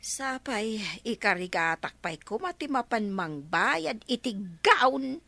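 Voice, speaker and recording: Woman acting a slow, bright Ilocano village auntie. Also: fairly high pitch, sing-song, creaky, no room sound, heavily acted. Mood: dismayed. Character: village auntie dismayed over a cancelled birthday. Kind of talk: comedy radio drama acting.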